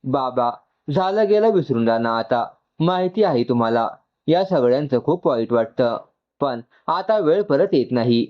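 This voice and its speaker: A man's voice: medium pitch, lively intonation, measured pace, lo-fi sound, natural voice. A man voicing a subdued young woman consoling her parent in an audio storybook.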